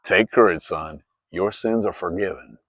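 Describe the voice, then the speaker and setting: Guy doing calm holy teacher from the bible, measured and firm, lowish, dry sound. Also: dry recording. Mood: reassuring. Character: calm, authoritative adult male holy teacher.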